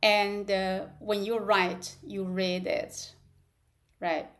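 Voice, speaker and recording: A woman teaching a live online class, speaking haltingly with many fillers and drilling syllables aloud. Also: mid-range voice, little pitch movement, fairly bright tone. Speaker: language teacher. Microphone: video call.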